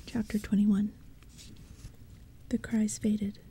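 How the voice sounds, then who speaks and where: A woman narrating an audiobook in a soft, hushed whisper. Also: medium pitch, flat delivery, slow pace, slight background noise.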